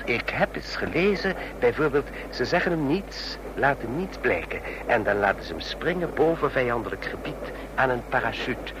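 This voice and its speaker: Man acting a smug, animated know-all train passenger. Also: moderate pace, lightly acted. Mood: gleefully needling. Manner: talkative, knowing, needling with rhetorical questions. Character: chatty, smug male train passenger obsessed with spy stories. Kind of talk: Dutch radio drama acting. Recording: noisy radio play with vehicle rumble.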